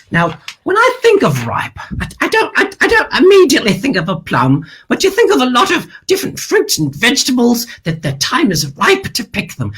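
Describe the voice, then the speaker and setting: A high, lilting voice that swoops up and down: a woman doing a vain, over-the-top kids' show hostess. She drags out her words and bursts into cackling laughs, bright and sharp in tone. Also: slightly rough, exaggerated voice. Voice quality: theatrical, drawn-out words, breaks into cackling laughs. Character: flamboyant, self-adoring female TV hostess, grand and theatrical. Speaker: children's show host parody. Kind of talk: comic character sketch.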